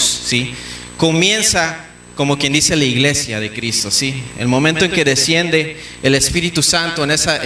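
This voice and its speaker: Man preaching, fairly high bright voice, teaching sermon, fillers and restarts, echoey church room, faint noise. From Mexico. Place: church hall.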